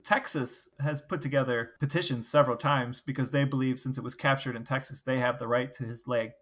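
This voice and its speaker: A man's voice: medium pitch, fairly even intonation, brisk, a bit bright. A man co-hosting a podcast, telling anecdotes in a casual, wry, chatty way. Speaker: podcast co-host. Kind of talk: casual storytelling chat.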